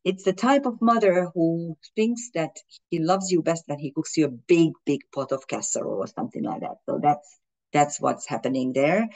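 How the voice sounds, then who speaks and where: A woman's voice: low-pitched, moderate pace, darkish tone, poor audio. Fumbling woman astrologer. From Hungary. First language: Hungarian and German. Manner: fumbling, with frequent restarts and fillers. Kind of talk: explaining an astrology chart in a video.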